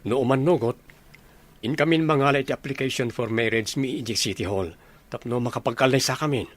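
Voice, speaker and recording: A man plays a young man in love, eager to marry. His voice is medium in pitch and affectionate, in the style of an Ilocano radio drama. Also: brisk, close to an everyday voice. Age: young adult. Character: elated, lovestruck young bridegroom, an affectionate only son.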